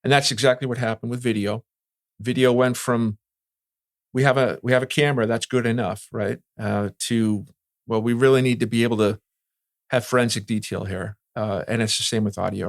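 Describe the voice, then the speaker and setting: A man's voice: medium pitch, steady tone, fairly quick, gravelly, no echo, clean studio sound. A man answering interview questions as an industry expert, explaining things conversationally and often checking in with "right?". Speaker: security and communications industry veteran being interviewed.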